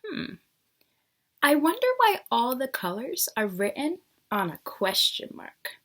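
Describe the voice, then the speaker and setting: Woman, fairly high voice, very slow pace, children's read-aloud, simple teacherly explanations, questions to young listeners. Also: very animated, a bit bright.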